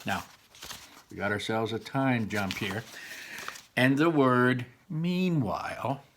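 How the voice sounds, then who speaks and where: A man reading a children's story aloud expressively, pausing for chatty asides to explain things to listeners. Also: mid-range voice, noisy background.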